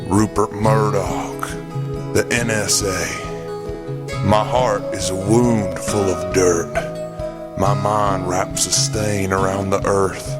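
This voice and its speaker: A man voices a surly, rambling road comic in a comedic radio play, droning out absurd verse in a deadpan, unhurried drawl. His voice sits very low. Loud music plays underneath.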